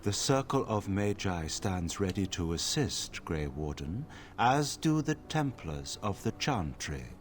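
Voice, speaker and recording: Slow, formal mage. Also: male voice, low voice, very animated. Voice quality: measured, formal. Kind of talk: video game voice acting. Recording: game audio with faint noise.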